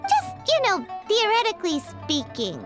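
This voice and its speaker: A woman voicing a small, perky, childlike fairy companion in an anime-style game, squeaky and blunt, with exaggerated bubbly delivery. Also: huge pitch swings, unhurried, full character voice. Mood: awkward, nervous. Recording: music playing behind the voice.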